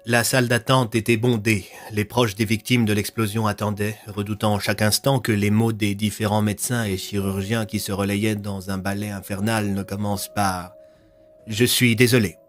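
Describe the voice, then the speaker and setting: Male storyteller over music. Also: lowish voice. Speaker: narrator. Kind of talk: scripted audio fiction narration.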